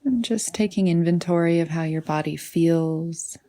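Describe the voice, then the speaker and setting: A woman leading a guided meditation in a soothing, unhurried manner, often trailing off into breathy softness. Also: fairly low pitch.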